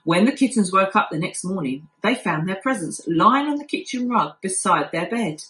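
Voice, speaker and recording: A woman's voice: mid-range voice, lively intonation, normal speed, fairly bright tone. A woman reading a children's story aloud like a primary school teacher, acting out the telling expressively. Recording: echoey room.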